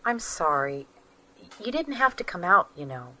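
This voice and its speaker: A guarded estranged wife in an audio drama, keeping the talk short and polite. Her voice sits low yet sounds bright and forceful. A faint hiss of ambience runs under it. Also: big pitch swings, a little slow, full character voice, rough recording.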